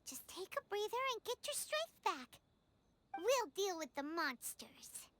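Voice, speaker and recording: A woman's voice: very high-pitched, big pitch swings, measured pace, fairly bright tone, slight background noise, exaggerated voice. A woman voicing a tiny, childlike fairy companion in a video game, chirpy, caring and plucky. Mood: caring, reassuring.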